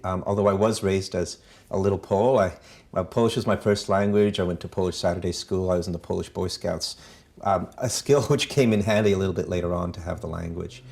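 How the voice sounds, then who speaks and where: Middle-aged Canadian-born man, very deep voice, thoughtful and halting, personal history storyteller. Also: vocal fry, a little mellow. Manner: measured, many ums and uhs. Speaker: foundation president.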